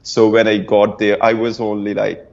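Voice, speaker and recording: A man's voice: on the low side, normal speed, vocal fry. Man on a dull lo-fi call. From Pakistan, Punjab. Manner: relaxed, storytelling, frequent restarts. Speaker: guest. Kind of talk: casual interview answers.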